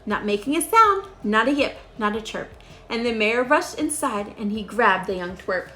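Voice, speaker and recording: A woman narrating a rhyming children's storybook in an expressive read-aloud style. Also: fairly high pitch, moderate pace.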